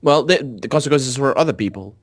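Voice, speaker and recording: Dry, lively-pitched man commentating on a game. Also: brisk, dry recording. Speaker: gamer commentating a co-op playthrough. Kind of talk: casual Let's Play commentary.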